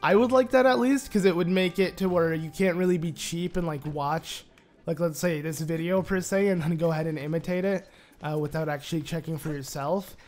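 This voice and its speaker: A man with a hoarse, raspy voice from a sore throat, rambling casually through gameplay commentary with lots of fillers. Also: fairly high pitch, fairly quick, darkish tone, soft background music. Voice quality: raspy, voice cracking. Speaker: Let's Play commentator.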